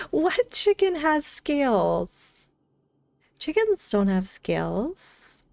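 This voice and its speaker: A woman's voice: high-pitched, sing-song, very slow, vocal fry, darkish tone, dry recording. A woman live streamer chatting casually and in a friendly way with her viewers while gaming. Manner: friendly, greets viewers by name. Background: game music.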